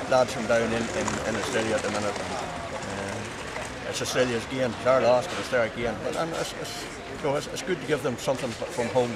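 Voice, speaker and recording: Northern Irish man, halting, crowd behind. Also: mid-range voice, far from the mic. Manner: halting, many restarts and fillers. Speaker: county chairman. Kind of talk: post-match interview. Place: outside a sports ground, crowd around. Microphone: handheld mic held by interviewer.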